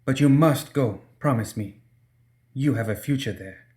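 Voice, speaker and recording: A man's voice: medium pitch, unhurried, darkish tone, voice pushed up, natural voice. A man voicing a young woman fighter, tender but proud, in an audiobook story. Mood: pleading, tender.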